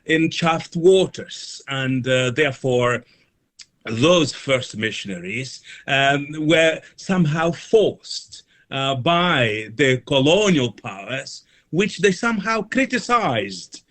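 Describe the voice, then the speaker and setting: A male interview guest with a mid-pitched voice who talks slowly. His answers are formal and scholarly, explained carefully in halting phrases. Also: animated, fairly bright tone. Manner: formal, academic wording, halting delivery. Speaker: guest, reverend and mission organisation officer.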